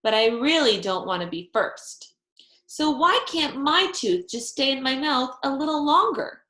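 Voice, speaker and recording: A woman's voice: high-pitched, lively intonation, unhurried, sharp tone, pitched above the performer's own voice, full character voice. A woman voicing a chatty, stubborn first-grade girl from a children's storybook, with childish, emphatic delivery. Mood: bickering, stubborn. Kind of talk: children's storybook read-aloud. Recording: echoey room.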